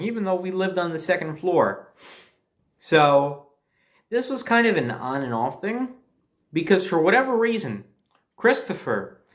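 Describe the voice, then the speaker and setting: An American man telling a personal anecdote in a casual, conversational and emphatic way, as a storyteller. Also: a little high. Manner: conversational, emphatic, mildly profane.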